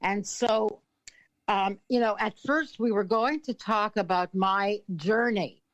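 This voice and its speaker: An older woman from Canada, an author and influence consultant, speaking as an interview guest in a thoughtful, filler-laden way with frequent throat clearing. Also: mid-range voice, slow, no room sound, poor audio.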